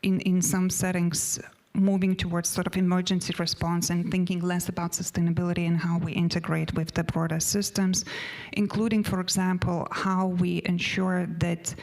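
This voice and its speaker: A woman with a Lithuanian accent delivers a panel presentation in non-native English. Her voice sits low, and she pauses often with fillers while keeping to her notes. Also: level tone, a little mellow. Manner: hesitant, many fillers and restarts, reading from notes. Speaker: panel speaker. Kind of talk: conference presentation.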